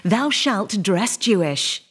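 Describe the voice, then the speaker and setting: A woman's voice: medium pitch, animated, slow pace, background noise. Woman's solemn mock-formal announcement.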